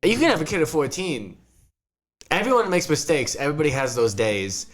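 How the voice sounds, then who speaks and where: A man's voice: on the high side, sing-song. A young man playing a tabletop role-playing game, joking, swearing and laughing a lot, sometimes speaking in character. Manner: laughing, profane, rapid joking. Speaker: player. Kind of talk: casual tabletop game chat, partly in character.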